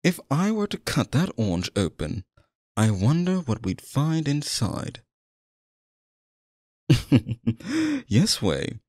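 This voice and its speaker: Man acting a sinister, theatrical stage magician, animated. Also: medium pitch, no echo, studio quality, heavily acted. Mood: suave, showy. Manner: theatrical, coaxing, drawn-out phrasing. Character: sinister smooth-talking male stage magician, possessive and courtly. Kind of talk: ASMR roleplay, theatrical acting to the listener.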